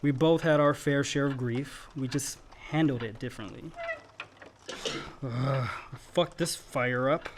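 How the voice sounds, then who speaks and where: An actor playing a dry, self-contained young adult brother in a radio play, with a wry, laconic American delivery. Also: male voice, mid-range voice, a little slow, background noise, lightly acted. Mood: matter-of-fact. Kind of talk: naturalistic radio play acting.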